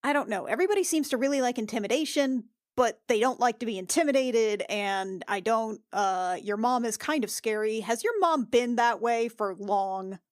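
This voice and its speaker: A woman playing a tabletop roleplaying game, improvising dialogue in a casual, halting, informal way. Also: on the high side, no echo, studio quality.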